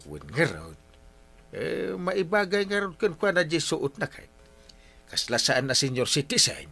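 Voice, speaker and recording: A man's voice: a little high, huge pitch swings, normal speed, gravelly, pushed and bright, full character voice. A man with an Ilocano accent playing a flustered, henpecked older village captain, stammering and exclaiming in comic drama. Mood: flustered, evasive. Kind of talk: broad comic radio-style drama acting.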